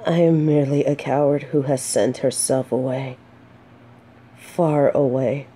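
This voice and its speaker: Low, mellow, slow-spoken earnest lady knight, acted by a woman. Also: fairly even intonation, breathy edge, exaggerated voice. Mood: solemn, remorseful. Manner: solemn, halting and stammering when flustered. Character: remorseful exiled female knight, earnest, shyly smitten. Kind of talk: scripted romantic audio roleplay, addressing the listener.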